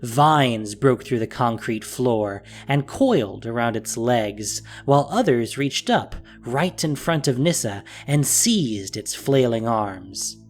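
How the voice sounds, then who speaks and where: Male narrator for fantasy audio drama, mid-pitched, scripted storytelling with music underneath. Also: lively intonation, slow, few pauses.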